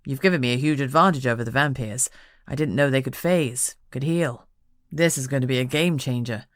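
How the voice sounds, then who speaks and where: A woman voicing a calm, wry male dragon shifter in a lowered, measured voice. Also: no room sound, studio quality. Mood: pleased.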